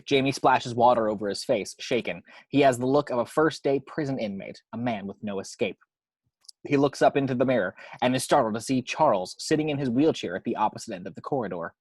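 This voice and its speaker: Husky, dry-sounding man narrating a script. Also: mid-range voice, a little fast, no room sound. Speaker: reader of action descriptions.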